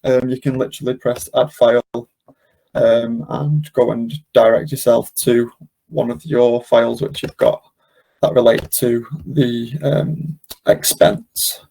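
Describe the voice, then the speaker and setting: A man presenting an informal step-by-step software tutorial, explaining as he goes in an unscripted, hesitant way with frequent ums. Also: mid-range voice, steady tone, a little slow, creaky, poor audio. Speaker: presenter of a software demonstration webinar.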